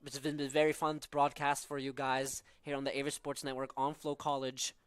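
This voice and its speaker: A man's voice: medium pitch, flat delivery, fairly quick, clear, resonant tone, dry recording, studio quality. A young man from southern Norway with a Norwegian accent, calling live college soccer with tactical analysis and frequent fillers. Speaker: play-by-play commentator.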